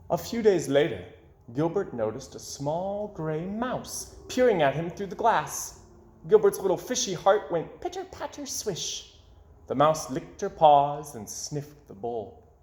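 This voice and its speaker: A man reading a children's storybook aloud in an expressive style, with dramatic pauses and drawn-out words. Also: a little high. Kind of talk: expressive read-aloud narration. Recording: roomy, echoing indoor sound.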